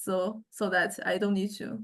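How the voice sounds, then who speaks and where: A woman lecturer explaining a software demonstration in a halting way, often repeating words as she searches for the next one. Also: mid-range voice, flat delivery.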